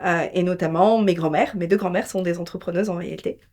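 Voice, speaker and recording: A woman's voice: fairly low pitch, steady tone, fast talker, a little mellow. A woman speaking conversationally as an interview guest, telling personal stories in an emphatic, colloquial way. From France. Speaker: interview guest, entrepreneur and business mentor.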